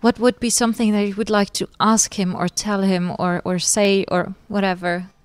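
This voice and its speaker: A woman with a medium-pitched voice speaks as a podcast interviewer. Her voice is recorded dry, with very little room around it. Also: level tone, moderate pace, clean tone, no echo.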